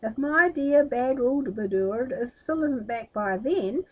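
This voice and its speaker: A woman's voice: high-pitched, expressive, slow, a little mellow, full character voice, low-quality recording. A woman voicing a furious old Sultan in a storybook, scolding and imperious as he threatens. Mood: angry, threatening.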